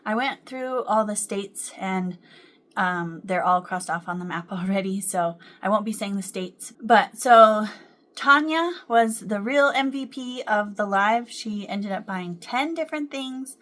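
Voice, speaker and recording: A woman's voice: mid-range voice, a little slow, a bit bright. Chatty woman crochet vlogger. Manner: chatty, with frequent ums and small laughs. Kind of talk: casual chat to camera. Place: at home.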